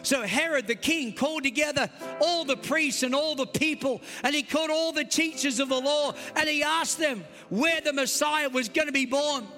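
A man with an Australian accent preaching a Christmas Eve sermon, emphatic and swinging between loud and soft. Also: very high voice, normal speed, hardly any pauses, soft background music. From United States. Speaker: pastor. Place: large church hall. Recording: long hall reverb.

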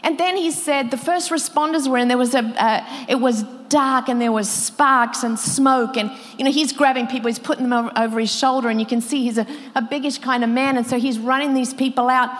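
A woman's voice: high-pitched, fairly even intonation, fairly quick, hardly any pauses. A woman preaching a sermon, telling stories with emotion and building intensity as she quotes others. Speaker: pastor. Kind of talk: sermon storytelling. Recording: long hall reverb.

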